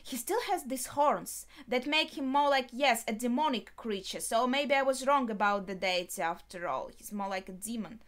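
A woman in her twenties reacting casually on camera in a small room, thinking aloud in a halting way. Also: fairly high pitch, animated. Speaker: reaction video host. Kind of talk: casual spoken reactions to an anime episode. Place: small bedroom, indoors.

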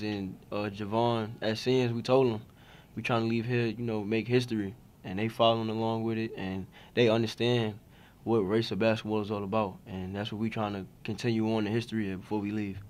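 A man's voice: fairly low pitch, darkish tone, slight background noise. A young man, a college basketball player, answering reporters' questions after a game at a press table. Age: young adult. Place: press conference room. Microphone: table mics in front of him.

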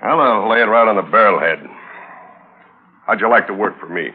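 Low-voiced hard old cattle baron, muffled radio. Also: male voice, fairly quick, breathy edge. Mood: persuasive. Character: aging, hard, stubborn cattle baron, male. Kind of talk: 1950s radio drama acting.

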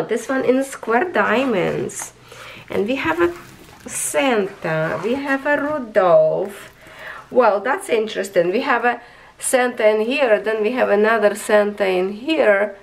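Slow, high-voiced hoarse woman reviewer. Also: a little hiss or hum, rough recording. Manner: chatty, enthusiastic, talks to viewers as friends. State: hoarse, losing her voice. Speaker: craft reviewer. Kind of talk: casual unboxing review. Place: indoors at a tabletop.

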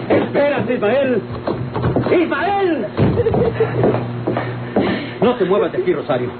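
An actor playing an earnest, pleading young rancher in an old radio drama, with a rural Mexican accent. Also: male voice, very high-pitched, huge pitch swings, takes it slowly, over loud music, heavily acted. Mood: urgent. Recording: tinny, noisy old radio drama recording.

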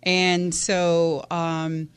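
A woman's voice: lowish voice, flat delivery, drawn-out words, clear, resonant tone. A woman from Houston, Texas, a guest on a radio interview, telling her story in a chatty way with many fillers. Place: radio studio.

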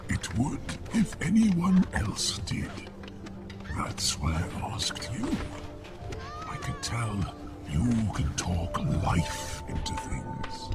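Slow, wildly swooping fire demon. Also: male voice, fairly high pitch, huge pitch swings, loud music behind the voice, full character voice. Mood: strained urging. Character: fire demon, wily, urgent, suffering magical flame. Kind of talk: audio drama acting.

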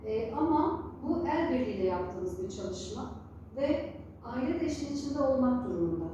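A high, softly mellow female voice, recorded in a very echoey room away from the mic. She speaks conversationally as an interview guest, setting out her thoughts in deliberate, paused phrases. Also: big pitch swings. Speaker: interview guest, story therapist and family counsellor. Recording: very echoey room, distant mic, faint noise.

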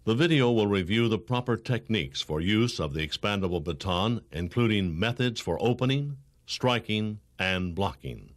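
A low-pitched American man delivers scripted training narration. His tone is formal and authoritative, with lively rises and falls across each measured, instructional sentence.

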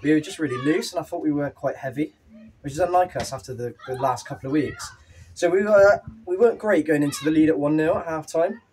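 A man's voice: on the high side, quick pace, a little hiss or hum. Breathless young man in a post-match interview. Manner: animated, candid, with fillers and restarts. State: slightly out of breath. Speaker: football manager. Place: small clubhouse room with painted wooden walls.

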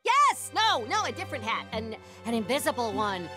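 High-pitched shifty little creature villain. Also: male voice, very animated, normal speed, music in the background. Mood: flustered excuses. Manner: stammering, over-friendly. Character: small furry male creature villain, chirpy, shifty, flustered. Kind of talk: animated game cutscene acting.

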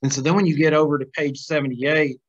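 A man's voice: a little high, fairly even intonation, quick pace. Dull lo-fi middle-aged male Bible teacher. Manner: conversational, poses questions to the class. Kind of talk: informal religious class, reading aloud and commenting. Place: small plain room, indoors. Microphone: video call, computer microphone. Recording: dull, lo-fi video call audio.

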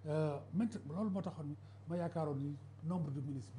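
A man's voice: on the high side, fairly quick, mellow, slight background noise. A middle-aged Senegalese man arguing insistently as a debate guest in a TV studio, on a lapel mic. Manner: insistent, interrupts back. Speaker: political guest. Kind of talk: televised political debate. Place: TV studio set.

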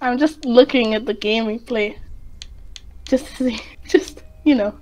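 A woman's voice: a little high, unhurried, darkish tone. A giggly woman chatting casually as she plays a game, often breaking into laughter. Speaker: gamer in group voice chat.

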